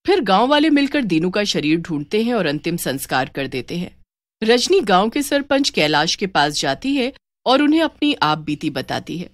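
A woman's voice: mid-range voice, sing-song, normal speed, no echo, clean studio sound. A woman narrating a scripted story as its narrator.

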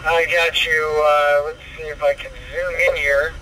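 A man with a moderately high voice chats casually over a digital ham radio link. The radio audio plays in a car, with clear road noise around it. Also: level tone, measured pace, pushed and bright, poor audio. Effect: heard over a digital radio link. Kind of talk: casual radio contact chat.

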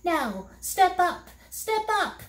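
Woman doing a squeaky little demon. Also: big pitch swings, drawn-out words, roomy sound, full character voice. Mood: gleeful. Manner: squeaky and gleeful. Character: small furry male demon, sly fibber then sobbing.

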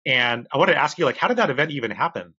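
A man's voice: medium pitch, lively intonation, rapid-fire, bright, forceful tone, breathy edge, dry recording. A man in his forties hosting a podcast, asking enthusiastic, chatty questions over a video call from home. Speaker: podcast host. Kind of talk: interview questions. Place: home room. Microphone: earphone headset on video call. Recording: dry, dull video call audio.

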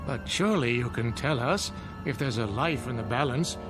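An actor playing a calm, dignified pastor in a radio drama. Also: male voice, medium pitch, moderate pace, over music, lightly acted. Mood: courteous. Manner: calm and courteous. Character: gentle, dignified middle-aged pastor.

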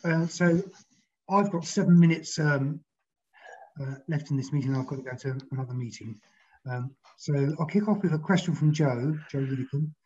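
A man chairs an online meeting and reads out audience questions in a brisk, practical way. His voice sits a little high for a man. The call audio is muffled. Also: darkish tone. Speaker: session chair. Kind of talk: moderating questions on a video call. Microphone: video call.

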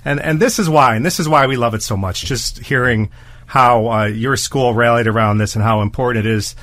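Low-pitched woman hosting radio. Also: a little fast, a bit bright, dry recording. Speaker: radio morning show host. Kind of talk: casual radio interview.

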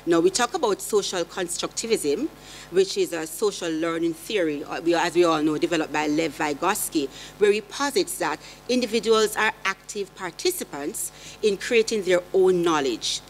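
A woman's voice: fairly low pitch, normal speed, slight background noise, rough recording. Earnest woman lecturing on a panel. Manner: earnest, rhetorical questions, emphatic. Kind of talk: prepared academic presentation. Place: conference hall panel table. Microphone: table gooseneck mic.

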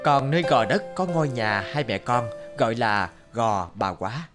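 A man's voice: mid-range voice, normal speed. A man narrating a children's fairy tale from a script. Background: loud music.

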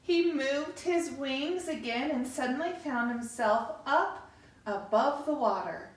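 A middle-aged woman reading a picture book aloud to children, with deliberate, expressive pauses, recorded across a living room. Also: sits high. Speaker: story time host reading to children. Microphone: camera across the room. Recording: echoey room, distant microphone.

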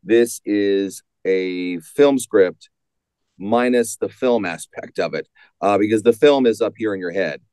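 Man, low pitch, dry sound, interviewed author, halting delivery. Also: a little mellow, clean tone, no room sound. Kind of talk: conversational interview answer.

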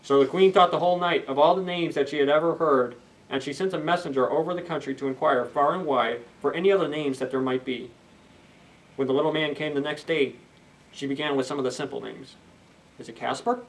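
Slightly distant, higher-voiced man reading a storybook. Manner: expressive, pauses for asides to young listeners. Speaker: children's librarian storyteller. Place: indoors, library children's room. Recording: slightly distant camera microphone.

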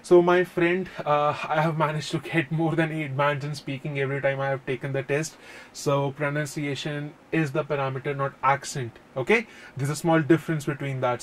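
A man in his thirties with an Indian accent, giving a classroom lecture on exam speaking tips, talking animatedly and often saying "okay", recorded on a lapel mic in a classroom. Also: a little high. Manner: animated, gestures at whiteboard, says "okay" often. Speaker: IELTS tutor. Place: classroom in front of projected screen.

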